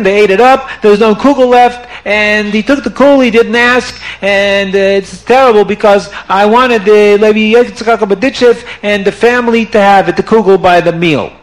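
A man doing a shrill, flustered rebbetzin. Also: lightly acted, poor audio. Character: upset rebbetzin, older woman, indignant baker.

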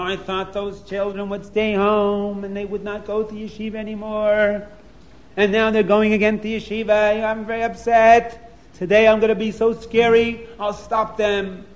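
A man's voice: high voice, voice pushed up, natural voice. Storybook bear, cross and plotting, lightly raised voice, as a male storyteller puts him on. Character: angry scheming male forest bear.

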